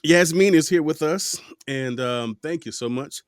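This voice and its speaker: A man's voice: fairly high pitch, unhurried, clear, resonant tone, no echo, studio quality. A man hosting a podcast, chatting casually and playfully with a guest over a remote video call, full of joking asides. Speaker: podcast host. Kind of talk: casual interview chat.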